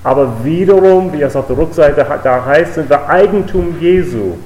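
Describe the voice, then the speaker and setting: A man's voice: fairly high pitch, normal speed, darkish tone, slight background noise. A man from the United States preaching an earnest, emphatic sermon in a church, with repeated declarations. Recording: echoey hall, voice a bit distant.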